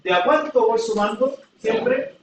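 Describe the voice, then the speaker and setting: A man's voice: extremely high pitch, huge pitch swings, a little slow, slight background noise. A man teaching a class in a classroom, patiently explaining with everyday examples and often checking that students follow. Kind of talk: classroom lecture. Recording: echoey room, from far off.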